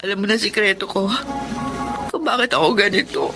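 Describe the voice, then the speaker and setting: A woman's voice: medium pitch, fairly even intonation, fairly quick, lightly acted. An actress playing a guarded young tomboy woman with a painful past, emotional and hesitant, in a Tagalog radio drama. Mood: pained. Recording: music bed under the dialogue.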